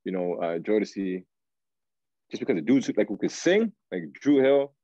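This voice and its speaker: A man, chatting casually as an interview guest in a halting, slangy way on a video call. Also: fairly low pitch, big pitch swings, mellow, no room sound, muffled recording. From Canada, raised in Toronto. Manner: halting, slangy, searching for words. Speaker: guest R&B singer. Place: small room, indoors. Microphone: video call, device at arm's length.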